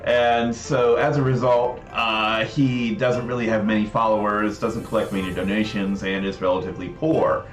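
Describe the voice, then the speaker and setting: A man's voice: on the low side, little pitch movement, normal speed, few pauses. A man presenting a casual livestream demo, explaining things in a rambling way with frequent asides. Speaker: presenter demonstrating a visual novel project. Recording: roomy echo, faint music behind. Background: faint music.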